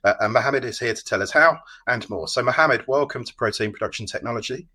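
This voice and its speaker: Brisk, bright-voiced man hosting an interview. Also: mid-range voice, breathy edge. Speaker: interview host. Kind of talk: prepared introduction and interview questions.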